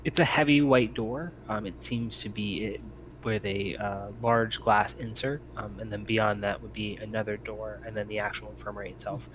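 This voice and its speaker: A man acting as game master, describing scenes and giving rulings in a measured, explanatory way with pauses. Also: on the low side, steady tone, fairly bright tone. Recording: dull home recording, background noise.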